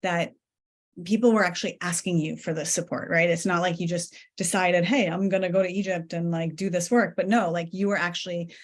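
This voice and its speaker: Woman from Iran, thirties, lowish voice, video call host, affirming chatty manner. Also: steady tone, brisk. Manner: warm, affirming, many "like"s. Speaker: podcast host. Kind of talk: conversational interview. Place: home room, indoors.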